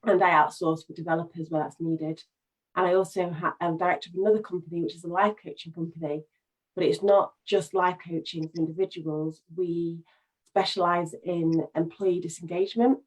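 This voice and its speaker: A woman with a lowish, fairly flat voice introduces her work on a video call. She talks in a steady, measured way, like a casual round of introductions. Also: low-quality recording. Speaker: business owner and life coach. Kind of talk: casual introduction in an online meeting.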